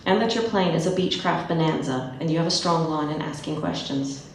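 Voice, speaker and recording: A woman reading the part of a smooth, confident Southern American man, in her own low voice, recorded from a distance in an echoey room. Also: brisk. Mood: cool, polite. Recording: echoey room, mic far off, faint noise.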